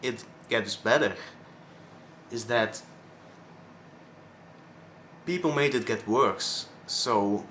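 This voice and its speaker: A man of twenty-one from Belgium with a Flemish accent, his first language Dutch, speaking haltingly with many pauses in a personal vlog. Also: medium pitch, fairly bright tone, slight background noise. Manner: hesitant, many ums and pauses. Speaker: vlogger.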